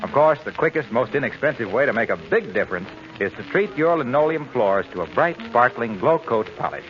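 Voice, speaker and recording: A man's voice: mid-range voice, fairly bright tone. An American man announcing a 1940s radio advertisement in cheery sales patter. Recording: dull 1941 radio recording.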